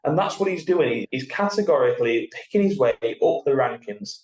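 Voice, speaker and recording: A man's voice: medium pitch, animated, brisk, darkish tone. A man in casual sports podcast discussion, explaining his points step by step through examples. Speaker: podcast co-host. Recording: roomy, echoey sound.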